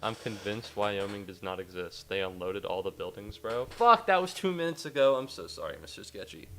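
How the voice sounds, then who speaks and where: A man's voice: fairly low pitch, animated, brisk, bright, forceful tone. A young American man, a little sniffly after a cold, chatting in a rambling, self-mocking way to his viewers during a casual game livestream. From United States. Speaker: game streamer. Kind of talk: casual livestream chat while gaming.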